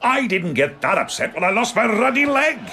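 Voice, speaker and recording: An actor playing a blunt, gruff medieval campaigner in an audio comedy, scornful and exasperated. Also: male voice, high-pitched, very animated, moderate pace, a crowd in the background, background noise. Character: grumpy, blunt, one-legged medieval old campaigner. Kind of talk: audio comedy drama acting.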